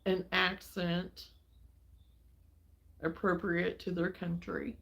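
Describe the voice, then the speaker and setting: A woman from Illinois hosting a relaxed livestream, chatting with viewers in a folksy way and laughing often. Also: lowish voice, slow, creaky, rough recording. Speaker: livestream host. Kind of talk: casual chat with viewers. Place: home.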